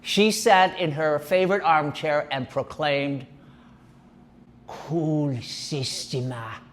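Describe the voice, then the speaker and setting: A man's voice: on the high side, unhurried. A man performing a one-man comic storytelling show on stage. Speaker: solo performer. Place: gallery stage.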